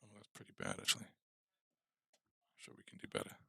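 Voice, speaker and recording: A man's voice: normal speed, sharp tone, dry recording. A man casually thinking aloud as he hosts a game coding tutorial livestream. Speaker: game development tutorial host.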